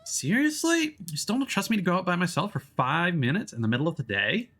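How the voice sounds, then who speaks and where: A man's voice: high-pitched, very animated, brisk, a bit bright, pitched above the performer's own voice, heavily acted. A man voicing a defiant sixteen-year-old girl who is eager to prove herself in a game. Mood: eager, indignant.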